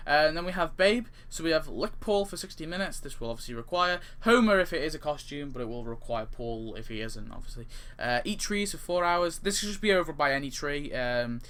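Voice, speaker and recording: Bright-voiced man rambling casually. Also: a little high, animated, brisk, smooth. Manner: rambling, often self-correcting mid-sentence. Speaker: gaming video creator. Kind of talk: casual off-the-cuff commentary on game update ideas.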